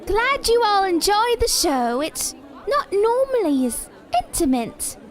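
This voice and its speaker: Very high, mocking female doll. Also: very animated, a little slow, exaggerated voice. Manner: mocking, theatrical, cutting. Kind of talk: audio drama acting.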